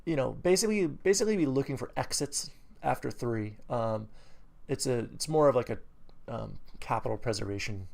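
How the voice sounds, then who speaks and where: A man with a New England accent and a gravelly edge, walking through a chart review in a loose, unscripted way. He trails off into fillers, corrects his own numbers, and perks up when a setup excites him. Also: medium pitch, fairly quick. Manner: rambling, many fillers and self-corrections; enthusiastic bursts. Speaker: trading educator. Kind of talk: screen-recorded trade review.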